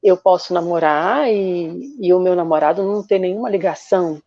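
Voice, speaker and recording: A woman from Rio with a Carioca accent talks in a low, mellow voice. She explains things patiently, stopping often to find her words. The recording is dry and a little dull. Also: no room sound. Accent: Carioca Brazilian Portuguese. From Rio de Janeiro, Brazil. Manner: explains patiently with many pauses and fillers. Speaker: guest journalist. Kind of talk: casual podcast conversation.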